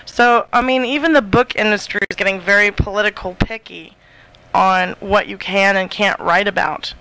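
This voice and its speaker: A woman's voice: medium pitch, fairly even intonation, moderate pace, bright, forceful tone, clean tone, poor audio. A woman chatting casually on a radio show as a guest author.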